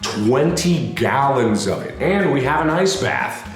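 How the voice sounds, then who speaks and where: A man in his twenties hosting a joking vlog, hoarse and gravelly from being sick, talking loosely with loud bursts. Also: medium pitch, animated, unhurried, soft background music. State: hoarse from illness. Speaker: YouTube host. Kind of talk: casual comedic vlog. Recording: echoey room.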